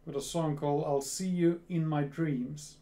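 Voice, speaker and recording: A man's voice: a little high, fairly even intonation, dark tone. A man in his thirties from Sweden with a Swedish accent, chatting casually in a reaction video at home and often repeating words. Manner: slow, repeats words, restarts. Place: small home room full of gear.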